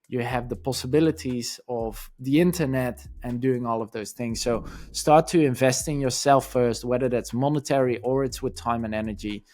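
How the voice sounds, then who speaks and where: A man's voice: mid-range voice, darkish tone. A young man in his twenties with a Dutch accent, a study coach giving earnest, encouraging advice straight to camera. Dutch is his first language. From Netherlands.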